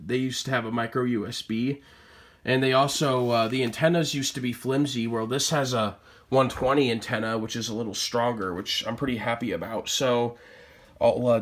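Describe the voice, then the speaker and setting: A man with an American accent casually presenting items from his hobby collection, as in a home product show-and-tell. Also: mid-range voice.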